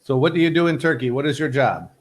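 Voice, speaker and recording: A man's voice: mid-range voice, lively intonation, quick pace. An American man tutoring English on a video call, friendly and easygoing, rephrasing things simply for his student. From United States. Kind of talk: casual online tutoring chat.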